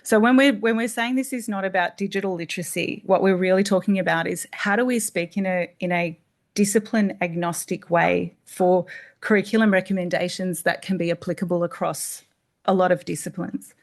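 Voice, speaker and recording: A woman's voice: on the low side, steady tone, a little fast. An Australian woman presenting at a seminar, answering an audience question in a thoughtful, explanatory way. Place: seminar room.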